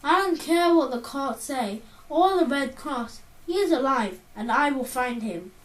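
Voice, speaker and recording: A woman's voice: high-pitched, expressive, a little slow. A woman voicing a cold, clever teenage boy genius who talks in a clipped, coolly superior way, in a storybook reading. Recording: roomy, distant mic.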